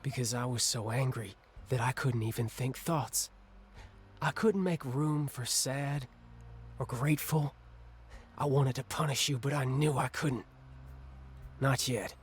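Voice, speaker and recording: A man acting an angry, ashamed younger brother in a video game cutscene, with a halting, bitter, confessional delivery. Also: mid-range voice, a little hiss or hum, close to an everyday voice. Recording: music under the voice.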